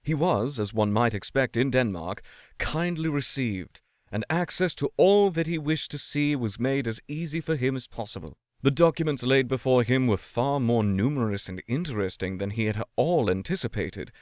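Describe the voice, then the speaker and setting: A man giving a measured, expressive reading of a classic ghost story as a scripted narration. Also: mid-range voice, dry recording, clean studio sound. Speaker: audiobook-style story reader.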